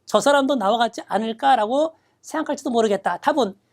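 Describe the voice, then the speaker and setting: A woman lecturing on exam reading questions, reading Japanese phrases slowly and then explaining them step by step. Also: a little high, darkish tone. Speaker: Japanese reading test instructor.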